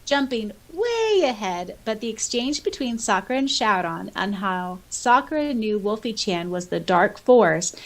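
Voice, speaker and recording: A woman's voice: medium pitch, big pitch swings, measured pace, few pauses. A woman co-hosting a podcast in a casual chat, reading out listener comments and reacting with giggly enthusiasm. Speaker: podcast co-host.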